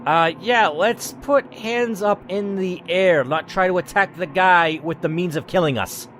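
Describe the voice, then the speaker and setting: A man's voice: high-pitched, a little slow, a bit bright, a little hiss or hum. A man reading an interactive story game aloud and stopping to think aloud about his choices, with the odd curse. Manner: reads in first person, then breaks off to think aloud and curse. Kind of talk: reading narration with playthrough commentary.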